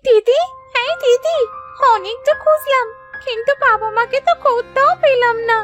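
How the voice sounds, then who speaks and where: A woman's voice: extremely high pitch, moderate pace, soft background music. A woman voicing an anxious, pleading little village girl in a children's fairy-tale cartoon. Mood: worried, tearful.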